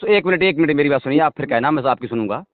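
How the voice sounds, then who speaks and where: A man's fairly high voice comes through a thin telephone connection with no room sound. He talks conversationally but insistently, defending himself and pressing the listener to hear him out. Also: expressive, very fast, no echo. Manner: insistent, self-justifying, asks to be heard. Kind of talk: phone conversation. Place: phone call. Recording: tinny, dry phone call recording.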